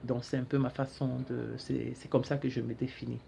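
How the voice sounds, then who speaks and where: Halting woman hosting a show. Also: extremely low pitch, flat delivery, gravelly, warm tone, breathy edge. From Cameroon. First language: English. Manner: halting, repeats words while searching for them. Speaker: talk show host. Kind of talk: solo monologue to camera.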